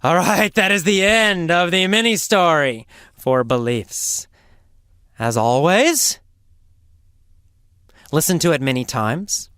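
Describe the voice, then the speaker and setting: Slow, animated English teacher telling a story. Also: medium pitch, smooth, dry recording, studio quality. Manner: slow, emphatic and theatrical, asks the listener questions. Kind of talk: mini story lesson narration.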